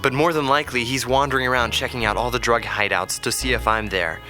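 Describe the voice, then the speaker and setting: A man's voice: medium pitch, fairly even intonation, quick pace, close to an everyday voice. An actor playing a defiant young male captive in an audio drama, desperate and protective, urgent and strained. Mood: defiant, weary. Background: dramatic background music.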